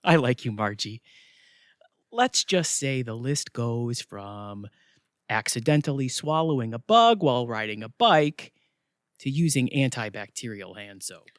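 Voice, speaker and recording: A male actor plays a wisecracking, easygoing male gatekeeper of the afterlife in an audio comedy. His chatty, flippant delivery jumps around in pitch. The sound is dry, with no room around it. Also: animated, a little slow, no echo, close to an everyday voice. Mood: casual, dry. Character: breezy, wisecracking male afterlife check-in attendant. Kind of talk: audio theatre comedy acting.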